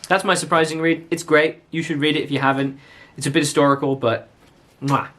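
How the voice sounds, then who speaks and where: A man hosting a book vlog in a casual, animated, opinionated and exclamatory way. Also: a little high, a little fast, a little hiss or hum.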